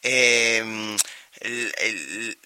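A man with an Argentine accent interviewing a guest in a conversational way, adding quick follow-up remarks. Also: lowish voice, bright, forceful tone, slight background noise. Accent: Argentine Spanish.

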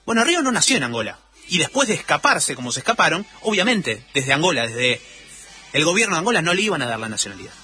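Animated, bright-voiced Argentine man telling stories. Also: fairly high pitch, faint music behind. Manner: dramatic, rhetorical questions and emphatic pauses. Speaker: storytelling radio or podcast host. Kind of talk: conversational sports storytelling.

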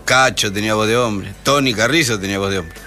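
Man, Rioplatense accent, radio co-host, bright tone, joking storyteller, faint music behind. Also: fairly low pitch, fast talker. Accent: Rioplatense Spanish. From Argentina. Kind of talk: casual radio chat.

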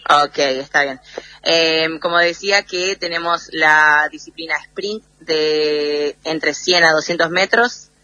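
A woman's voice: deep voice, slow, sharp tone. A woman born in the United States, an athlete guest speaking by phone on a radio show and explaining her sport in a friendly way. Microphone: phone call over messaging app. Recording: phone line that cuts out.

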